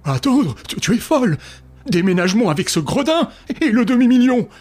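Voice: medium pitch, big pitch swings, normal speed, darkish tone, pitched above the performer's own voice. A narrator playing a frantic, stammering father. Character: panicked middle-aged father.

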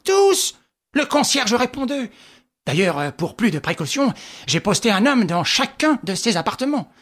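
An audiobook narrator voicing a stubborn, excitable veteran police inspector who talks in agitated, insistent bursts. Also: medium pitch, moderate pace, voice pushed up.

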